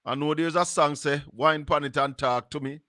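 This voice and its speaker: A Jamaican man with a Jamaican accent hosting a live stream, musing slowly and slipping into patois. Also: a little high, fairly even intonation, pushed and bright, dry recording, clean studio sound. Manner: slow, musing, drops into patois. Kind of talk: casual live-stream commentary.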